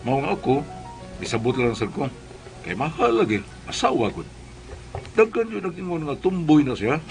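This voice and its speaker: A man's voice: fairly high pitch, big pitch swings, normal speed, vocal fry, soft background music, rough recording. A man playing a mild, long-suffering husband and exasperated father, narrating and acting in a comedic radio drama. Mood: resigned, confiding.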